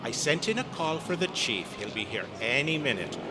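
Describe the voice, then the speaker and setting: A lively, fairly high male voice in a noisy live room. A man plays a skeptical, plain-spoken police captain from a vintage radio play, dismissive and placating. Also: normal speed. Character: gruff, skeptical, uneducated police captain calming a panic. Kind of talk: old-time radio drama acting. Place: hotel convention meeting room, live performance. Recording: noisy live convention room, somewhat distant.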